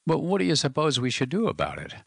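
A man voices a gruff, doubtful engineer from Louisiana who is homesick and unimpressed. His voice rises somewhat above its natural low register but keeps a husky rasp. The lively delivery is dry and close. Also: quick pace, dry recording, full character voice. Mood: gruff, skeptical. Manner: gruff, skeptical.

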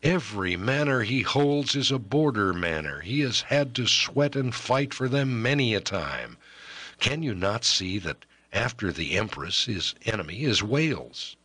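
A man's voice: on the low side, big pitch swings, a little slow, bright, forceful tone, breathy edge, no echo, pitched above the performer's own voice, heavily acted. A man voicing a pleading young noblewoman. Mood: urgent. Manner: pleading, tearful. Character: young noblewoman, anguished and passionate lover. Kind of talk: audiobook character reading.

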